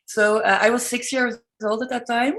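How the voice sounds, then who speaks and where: A woman's voice: on the high side, steady tone, moderate pace, a bit bright. Hesitant Belgian woman telling her story. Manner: hesitant, many ums and self-corrections, non-native English. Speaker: artist guest. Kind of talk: interview storytelling.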